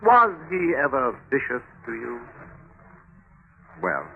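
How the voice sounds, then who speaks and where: An actor in a 1950s radio drama playing a probing, unafraid official with insistent, clipped questions. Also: male voice, mid-range voice, huge pitch swings, slow pace, full character voice. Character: probing, unafraid official interrogator, coolly challenging. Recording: tinny, hissy 1950s radio recording.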